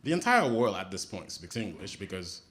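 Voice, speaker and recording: A Haitian man whose first language is Haitian Creole, performing an animated stand-up set on a comedy stage and jumping into high mock voices for punchlines. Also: normal speed. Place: comedy stage before a laughing crowd. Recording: loud noise, audience laughter.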